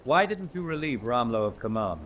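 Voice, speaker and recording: An actor playing a relentless, precise military prosecutor in a radio drama, questioning formally and pressing hard. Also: male voice, mid-range voice, very animated, brisk, a bit bright, slight background noise. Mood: pressing, accusatory. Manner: formal, pressing cross-examination. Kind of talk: radio drama courtroom acting.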